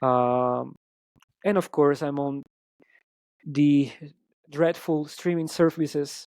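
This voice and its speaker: A man's voice: fairly high pitch, takes it slowly, darkish tone, dry recording. A man with an Italian accent, a musician guest chatting in an interview, hesitant with many ums and restarts. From Italy. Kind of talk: casual interview chat.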